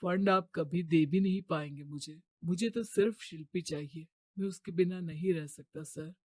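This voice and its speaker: A man's voice: fairly high pitch, normal speed, darkish tone, breathy edge, no room sound. A man voicing an earnest, respectful young suitor of modest means in a cartoon moral story. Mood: earnest pleading.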